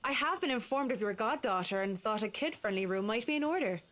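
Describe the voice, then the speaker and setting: An actress playing a polite, eager interior designer in a visual novel game, speaking in an earnest, deferential way. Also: female voice, fairly high pitch, expressive, fairly quick, a bit bright.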